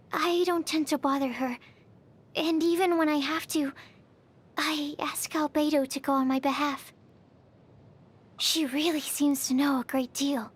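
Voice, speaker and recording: A shy young female alchemist from a video game. She is timid and earnest, picking precise, bookish words with a nervous hesitance. Music plays behind her. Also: sits high. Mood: timid, uneasy, then puzzled, awkward. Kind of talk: video game character voice lines.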